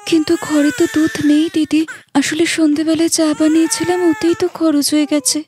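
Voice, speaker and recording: A woman's voice: high voice, level tone, normal speed, a little mellow, a little hiss or hum, pitched above the performer's own voice, lightly acted. A woman voicing an eager, deferential young village wife in a cartoon story, polite and earnest. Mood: apologetic explaining. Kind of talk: cartoon moral story acting.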